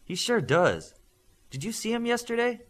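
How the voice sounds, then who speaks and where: Man voicing a teasing young superhero girl, pitched up. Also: big pitch swings, moderate pace. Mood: teasing, curious.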